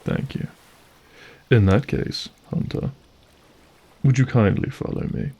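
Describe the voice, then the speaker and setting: A man playing a suave, menacing monster in a scripted audio drama, mock-courteous and theatrical with a gravelly, husky voice. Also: mid-range voice, takes it slowly, warm tone. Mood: menacing, smug. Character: suave, menacing male monster host, mocking and vengeful.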